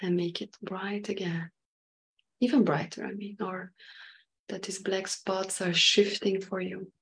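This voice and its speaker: A woman leading an unscripted guided meditation in short halting phrases, with a breathy voice that sometimes nears a whisper. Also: medium pitch, little pitch movement, poor audio.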